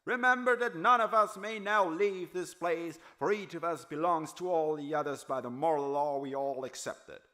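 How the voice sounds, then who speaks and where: A pompous, domineering factory chairman, acted by a male narrator, declaiming to a mass meeting. The voice is raised high and loud, with a commanding oratorical delivery in audiobook style. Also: moderate pace, few pauses.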